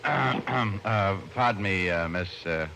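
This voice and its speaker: Low-voiced wisecracking radio private eye. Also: male voice, slow pace, pushed and bright, exaggerated voice. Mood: smooth, flirtatious. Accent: American. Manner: glib, teasing, wry. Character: wisecracking flirtatious male private detective, breezy and teasing. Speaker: private detective and narrator. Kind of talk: 1940s-50s American radio detective acting. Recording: noisy old-time radio recording.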